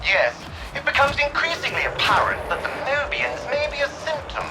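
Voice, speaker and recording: A man playing a haughty, sardonic imperial interrogator in a video game, polite and condescending in his measured phrasing. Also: very high-pitched, big pitch swings, vocal fry, heavily acted. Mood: cool suspicion. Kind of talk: video game character dialogue. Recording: loud music behind the voice.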